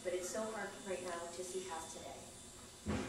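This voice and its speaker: A woman's voice: fairly low pitch, fairly even intonation, moderate pace. A woman reading a conversational personal monologue aloud on a small stage. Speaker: performer at a live stage reading. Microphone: distant camera microphone. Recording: echoey theater, far-off camera, faint noise.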